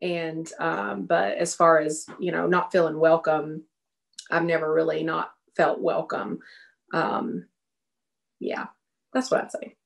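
Low, gravelly woman on a video call. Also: flat delivery. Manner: halting, soft, many fillers. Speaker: panelist, singer and bass player. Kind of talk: casual panel talk. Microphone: webcam on a video call.

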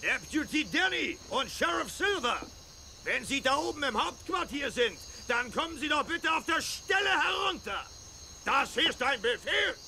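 An actor playing a fussy, pompous fort general in a children's audio drama, formal and commanding. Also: male voice, very high voice, very animated, moderate pace, vocal fry, pushed and bright, a little hiss or hum, heavily acted.

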